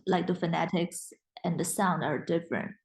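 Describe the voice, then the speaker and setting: A woman's voice: on the low side, steady tone, normal speed, a little mellow. A young woman whose first language is Mandarin, a guest in a casual interview, speaking English with frequent restarts and fillers. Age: young adult. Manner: hesitant, many restarts and fillers.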